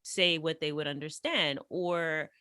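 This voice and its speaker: A woman from the United States tells stories as a podcast guest, animated and full of fillers. Her voice sits a little low. The sound is dry, with no room echo. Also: unhurried. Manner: animated, chatty, many fillers. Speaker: podcast guest, language teacher. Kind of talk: casual interview storytelling.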